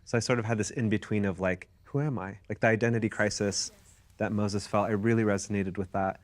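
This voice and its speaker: A man's voice: on the low side, steady tone, fairly quick. A man from the United States sharing a personal story in a casual class discussion, candid and rambling. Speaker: class participant.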